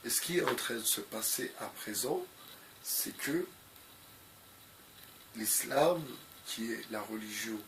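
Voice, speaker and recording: A man's voice: on the low side, expressive, takes it slowly, long pauses, background noise. A man with a cold giving unscripted commentary to his viewers, hesitant and full of fillers, often repeating the same phrases. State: has a cold. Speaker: video commentator.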